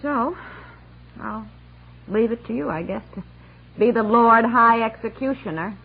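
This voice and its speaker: An actress playing a squeamish, tender-hearted American housewife in a 1960s radio comedy, fussing and pleading in a conversational way. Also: female voice, on the high side, unhurried, creaky, husky, heavily acted. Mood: explaining, uneasy. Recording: muffled old radio recording, faint hum.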